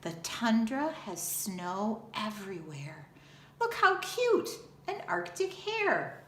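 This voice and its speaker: Slow-paced woman reading to children, echoey room. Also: fairly high pitch, big pitch swings. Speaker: school teacher reading aloud. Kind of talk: children's picture book read-aloud. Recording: roomy echo, faint background noise.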